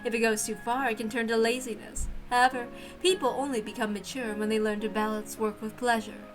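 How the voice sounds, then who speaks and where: A woman's voice: a little high, level tone, fairly quick, low-quality recording. A woman reading a visual novel aloud as narrator, with music playing behind her. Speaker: reader voicing a visual novel. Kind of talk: animated read-aloud narration.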